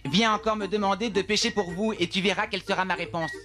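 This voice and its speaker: A man's voice: high voice, steady tone, fast talker, natural voice. A man voicing a grumpy, proud fishing sea lion in a French cartoon dub, with music underneath. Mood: indignant. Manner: grumbling, indignant.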